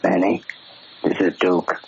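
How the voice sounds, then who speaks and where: Tough American hoodlum, very low voice, terse slangy talk, 1940s radio drama, muffled. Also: male voice, flat delivery, slow, creaky, exaggerated voice. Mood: conspiratorial. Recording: muffled, noisy old radio broadcast.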